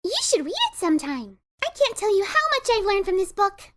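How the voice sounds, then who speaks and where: A woman voicing a hyperactive, bubbly young female cartoon pony with an excitable, gushing manner in a dramatic story reading. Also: very high-pitched, a little fast. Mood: eager.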